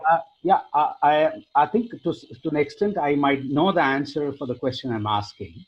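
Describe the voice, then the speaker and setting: Tamil-speaking man asking a question: polite, hesitant, husky, lo-fi call audio. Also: on the high side, normal speed. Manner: polite, hesitant, many fillers. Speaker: seminar attendee. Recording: dull lo-fi video call audio.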